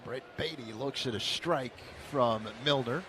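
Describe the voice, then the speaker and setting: A man calling a baseball game play-by-play on the radio, steady and then excited on big plays. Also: medium pitch, unhurried, noisy background. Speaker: play-by-play announcer.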